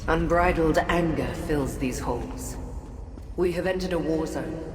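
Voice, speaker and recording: Solemn, echoing otherworldly goddess. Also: female voice, low-pitched. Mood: grave, foreboding. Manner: grave, measured, portentous. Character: solemn female godlike prince, grave otherworldly guide. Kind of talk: scripted fantasy video game dialogue. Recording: echoing reverberant voice, music behind.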